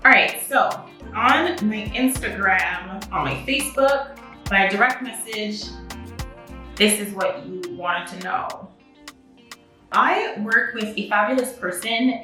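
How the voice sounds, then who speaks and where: Young French-native woman, upbeat beauty vlogger talking to camera; crisp bright tone, roomy echo from a mic set back. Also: medium pitch, recorded from a distance. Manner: chatty and upbeat, with laughs. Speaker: beauty vlogger and makeup artist. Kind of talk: casual to-camera vlog. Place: indoor home studio with a makeup setup.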